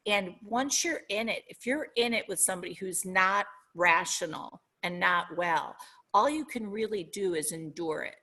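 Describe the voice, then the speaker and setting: Bright-voiced Minnesotan woman, earnest. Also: mid-range voice, normal speed. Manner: earnest, reflective, searching for words. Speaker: guest author. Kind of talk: podcast interview.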